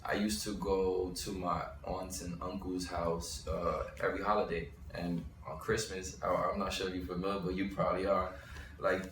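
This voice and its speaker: A young man with a very low voice talks through his story in a loose, rambling way, often restarting mid-thought. He sounds set back from the mic in an echoey room. A faint noise sits under him. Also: little pitch movement, normal speed, fairly bright tone, distant mic. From Bushwick, Brooklyn, New York. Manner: rambling, restarts, thinking aloud. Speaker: rapper and interview guest. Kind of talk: casual interview talk.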